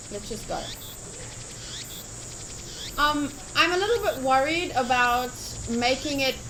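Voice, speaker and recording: A woman with a fairly high voice hosts a casual game livestream. She takes her time, switching between chat and reading aloud with expressive enthusiasm, over noticeable background noise. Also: low-quality recording. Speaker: game streamer. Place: livestream setup.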